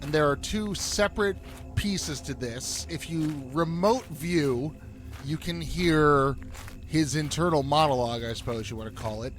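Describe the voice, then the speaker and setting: A man's voice: on the high side, measured pace. A man who voiced a game character, chatting candidly and with some swearing about how he made the voice. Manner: candid and profane, laughs easily. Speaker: voice actor and game team member. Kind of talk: casual behind-the-scenes chat. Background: music.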